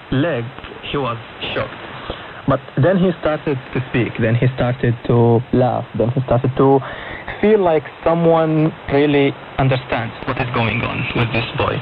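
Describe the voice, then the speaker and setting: A young man speaking non-native English in short phrases as an interview guest, recounting his own experiences over a shortwave radio broadcast. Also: medium pitch. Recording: narrow, noisy shortwave radio reception. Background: clear radio static and noise.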